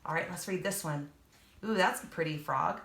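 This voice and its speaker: A woman's voice: fairly low pitch, moderate pace, vocal fry, a bit bright. Woman reading aloud to children, echoey room. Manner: addresses young listeners directly, explaining as she reads. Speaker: reader and teacher for children. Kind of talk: children's read-aloud with explanatory asides.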